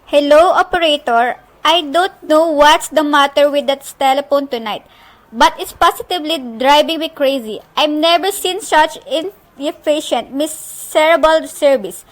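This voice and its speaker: A woman's voice: high-pitched, moderate pace, lightly acted, rough recording. A woman acting in an amateur suspense radio play as a nervous, irritable bedridden woman, complaining imperiously on the telephone. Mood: exasperated.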